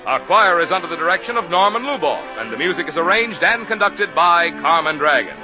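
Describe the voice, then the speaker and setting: A man gives a booming, emphatic radio announcement in a high voice that rises and falls with showy lift. Music swells behind a dull, lo-fi vintage broadcast. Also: animated, fairly quick. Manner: booming, emphatic sponsor read. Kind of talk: formal 1940s radio announcing.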